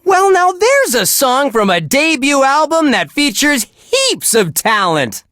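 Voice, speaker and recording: A relentlessly cheerful death metal DJ for a video game radio station. He gushes in a bright, wholesome voice with lively swings of pitch, unhurried and recorded dry and close. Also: no room sound, exaggerated voice. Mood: gushing, upbeat. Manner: gushing, sunny, wholesome delivery. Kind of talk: upbeat radio host patter.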